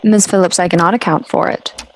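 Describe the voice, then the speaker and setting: A dignified professor acted by a woman answers in a fairly low, precise voice, formal and skeptical. The old-time radio drama recording has faint noise. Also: steady tone, brisk, close to an everyday voice, rough recording. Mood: assured, dismissive. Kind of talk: 1930s radio drama interview.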